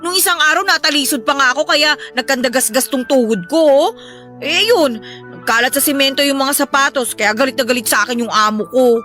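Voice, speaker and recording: Young adult Filipino daughter, aggrieved and self-defending, for radio-style melodrama. High, bright, sharp tone. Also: female voice, a little fast, faint music behind. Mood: sheepish, complaining. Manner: complaining, self-defending, politely reproachful. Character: put-upon, clumsy, frank young Filipino daughter. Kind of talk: melodramatic Filipino audio drama acting.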